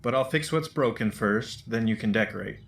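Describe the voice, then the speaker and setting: A man's voice: on the low side, normal speed, a bit bright, echoey room. A man acting an easygoing, plainspoken home remodeler with a dry, laid-back manner in a romantic radio play. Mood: dry, assured.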